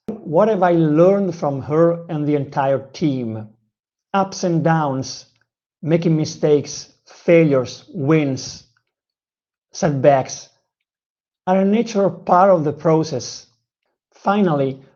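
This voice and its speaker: A man's voice: on the high side, mellow, muffled recording. A man who learned English as a second language, earnestly telling his personal learning story, with frequent fillers and restarts. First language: not English. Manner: earnest, halting with many fillers. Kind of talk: personal video narration.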